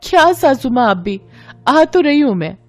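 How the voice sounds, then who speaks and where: A woman's voice: high voice, very animated, normal speed, dry recording, soft background music, pitched above the performer's own voice. A woman voicing a spoiled, blunt young married woman with a breezy, pert manner in an audio story.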